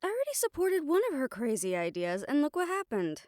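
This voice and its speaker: A woman's voice: high voice, huge pitch swings, brisk, darkish tone, dry recording, clean studio sound. A woman playing a stern, exasperated young siren banshee spellcaster in a roleplay audio drama, talking conversationally and emphatically. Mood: stern, chiding. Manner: conversational, sighing, chiding, emphatic.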